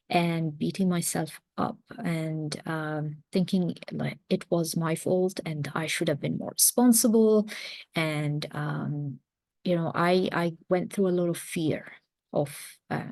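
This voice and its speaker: Low-voiced woman with creaky edge. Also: darkish tone, no room sound. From mountains of Lebanon. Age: around 40. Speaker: parenting coach, series host. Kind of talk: personal talk introducing a series.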